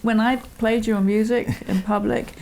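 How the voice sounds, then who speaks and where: Gravelly woman interviewer, chatty. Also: a little high, fairly even intonation, normal speed, over a noisy crowd, rough recording. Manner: agreeable, chatty, laughs easily. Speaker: interviewer and concert organist. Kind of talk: conversational interview.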